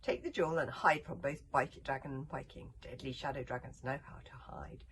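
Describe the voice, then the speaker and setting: A woman voicing a commanding, grave warrior mother in a storybook, firm and measured as she instructs. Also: very deep voice, steady tone, fairly bright tone, a little hiss or hum.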